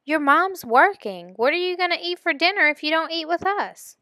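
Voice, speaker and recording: A woman's voice: very high-pitched, animated, moderate pace, sharp tone, no echo, clean studio sound, pitched above the performer's own voice, heavily acted. A woman voicing a spirited, outspoken young teenage girl in a storybook, direct and insistent.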